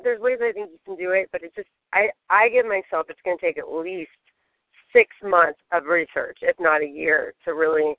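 A hoarse woman who is losing her voice, chatting in a rambling, easygoing way from inside her parked car. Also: lowish voice, normal speed, dry recording. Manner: chatty, rambling, laughs easily. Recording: dry narrow phone line.

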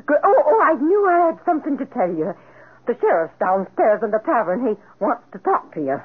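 A woman's voice: a little high, big pitch swings, fairly quick, slightly rough, exaggerated voice. An actress playing a chatty, fretting landlady of a boarding house in a radio drama. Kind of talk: 1940s radio acting. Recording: tinny noisy old radio recording.

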